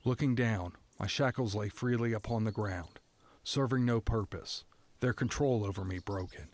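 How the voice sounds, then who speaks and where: Man acting a somber, lowish-voiced condemned prisoner narrating. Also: darkish tone, lightly acted. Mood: grave, urgent. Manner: somber, deliberate storytelling. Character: condemned male prisoner narrating, stoic, resigned, poetic. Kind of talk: scripted first-person dramatic narration.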